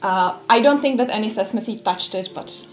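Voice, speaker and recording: A woman's voice: medium pitch, moderate pace, soft background music. A young woman from the Czech Republic with a Czech accent, giving a friendly, casual talk to a small group with plenty of fillers and restarts. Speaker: speaker giving a workshop talk. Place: room with a group of listeners.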